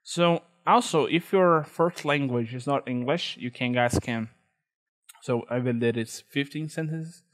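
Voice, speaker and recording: A young man with a Brazilian Portuguese accent talks through a screen-recorded tutorial at a computer. He speaks in a relaxed, halting way, full of "uh" and restarts, with an ordinary mid-range pitch. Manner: relaxed, hesitant, many fillers and restarts. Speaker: tutorial host.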